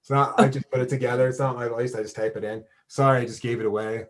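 A man's voice: medium pitch, fairly even intonation, quick pace. A man with an American accent hosting a casual chat interview, talking informally and often interrupting himself as he goes. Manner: informal, rambling, self-interrupting. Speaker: podcast host and radio DJ.

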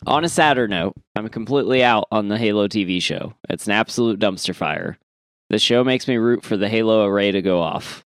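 Clear, low-voiced man co-hosting a podcast. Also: fairly quick, no room sound. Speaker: podcast co-host. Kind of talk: casual comic chat, reading listener emails.

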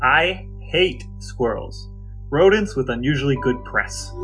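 A man's voice: medium pitch, lively intonation, measured pace, fairly bright tone, faint music behind. A man co-hosting a podcast in casual, conversational chat. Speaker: podcast co-host.

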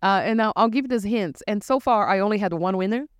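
Woman from Brazil, co-host, chatty, smooth resonant voice, dry close sound. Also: mid-range voice, a little fast, no echo. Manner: animated, acts out customers' questions with laughs. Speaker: podcast co-host, bartender. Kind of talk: casual chat.

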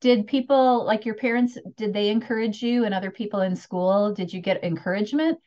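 A woman's voice: mid-range voice, fairly quick, darkish tone, highs cut off. A woman hosting an interview podcast, enthusiastic and encouraging as she asks questions. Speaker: podcast host.

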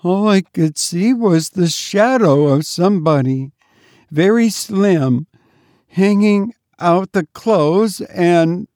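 Guy doing a scared stiff maid woman, high gaspy faint voice, hesitant, storybook reading. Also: takes it slowly, a little mellow, no echo, studio quality. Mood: horrified, hesitant. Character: terrified housemaid, a woman, faint with horror.

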